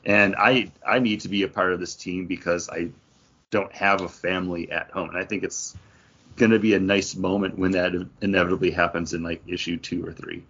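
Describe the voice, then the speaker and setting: Low-voiced man with slightly husky tone. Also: fairly even intonation, fairly quick, a bit bright, lo-fi sound. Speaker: podcast co-host reviewing comics. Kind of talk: casual enthusiastic review chat.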